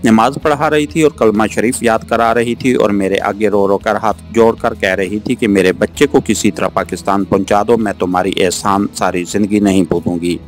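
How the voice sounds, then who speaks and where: A man reading a novel aloud as an audiobook narrator, in an earnest, expressive storytelling style. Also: lowish voice, moderate pace, hardly any pauses. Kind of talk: dramatic scripted novel narration. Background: background music.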